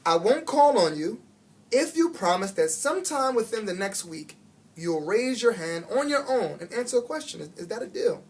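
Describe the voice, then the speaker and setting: A stern but caring woman teacher from a children's storybook, acted out by a man reading aloud. The voice is husky and lifted in pitch, pressing each point loudly and emphatically as it coaxes. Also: sing-song, normal speed, heavily acted. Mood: coaxing. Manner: loud, emphatic, coaxing.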